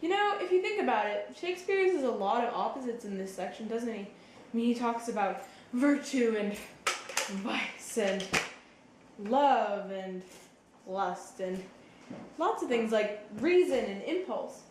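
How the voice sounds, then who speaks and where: Woman as a patient explainer chatting through shakespeare, highish voice, echoey room with a bit of hiss. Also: animated, a little slow, a little mellow. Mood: thoughtful. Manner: explanatory, casual. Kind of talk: conversational literary commentary. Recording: roomy echo, faint background noise.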